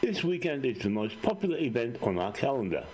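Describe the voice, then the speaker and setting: A man's voice: medium pitch, sing-song, brisk, darkish tone, a little hiss or hum. A man reading a polished scripted narration for a club video.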